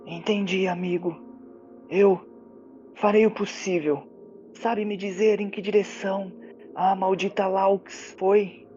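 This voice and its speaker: A man voicing an earnest, halting fantasy adventurer who talks with spirits in a tabletop game. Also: high-pitched, flat delivery, darkish tone, dull audio, soft background music, pitched above the performer's own voice. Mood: earnest, bitter. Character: Gwyn, earnest long-eared adventurer who speaks with spirits.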